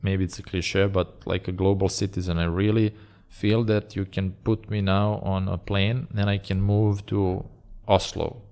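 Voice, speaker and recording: A man's voice: low-pitched, level tone, a little slow, few pauses, darkish tone. A man hosting an interview podcast, asking questions in a teasing, friendly way. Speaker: podcast host. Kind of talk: casual interview talk.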